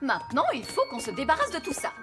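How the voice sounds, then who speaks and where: A woman playing a brisk, no-nonsense nanny fairy in a children's cartoon, with an emphatic, theatrical delivery. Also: sits high, huge pitch swings. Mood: brisk, disdainful. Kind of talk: dubbed children's cartoon acting. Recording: loud music behind the voice.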